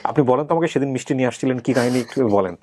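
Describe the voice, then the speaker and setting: A man's voice: mid-range voice, very fast, darkish tone, dry recording. Emphatic Bangladeshi man hosting, dry close sound. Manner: emphatic, mixes English words into speech. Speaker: interview host. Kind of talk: conversational interviewing and addressing viewers.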